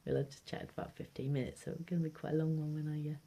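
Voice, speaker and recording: Low-voiced rambling British woman vlogger. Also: little pitch movement, rapid-fire, gravelly, mellow. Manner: rambling, hesitant, often trailing off and repeating. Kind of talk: casual food diary chat to camera. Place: at home. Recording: noisy amateur home video.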